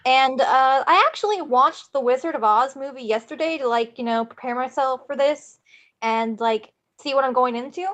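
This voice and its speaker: A woman's voice: sits high, moderate pace, fairly bright tone. A woman introducing herself as a performer in casual, conversational talk. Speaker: table read performer. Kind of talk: casual chat.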